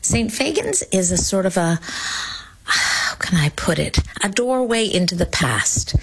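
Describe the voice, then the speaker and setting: A woman's voice: medium pitch, big pitch swings, a little slow, vocal fry, a bit bright, breathy edge, poor audio. A woman hosting a travel show, narrating her visits in a conversational, curious way. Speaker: travel podcast host.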